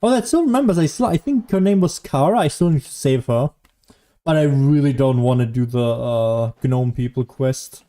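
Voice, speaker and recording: A man's voice: mid-range voice, moderate pace, warm tone. A man giving casual, sarcastic commentary as he plays a video game. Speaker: gaming streamer. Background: faint game music.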